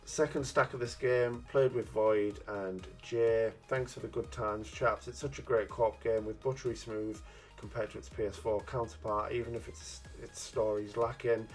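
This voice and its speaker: A man's voice: lowish voice, steady tone, moderate pace, few pauses, faint music behind. A man hosting a casual gaming review chat, cheeky and jokey, often laughing at his own innuendo. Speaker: gaming channel host.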